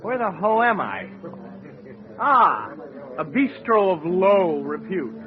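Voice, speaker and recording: High-pitched pompous seafaring blowhard. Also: male voice, sing-song, slow, full character voice. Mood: jaunty, florid. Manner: pompous, florid, wisecracking. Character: boastful, tipsy old seafaring blowhard with florid manners. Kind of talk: comic radio acting. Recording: dull radio sound with crowd behind. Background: barroom crowd.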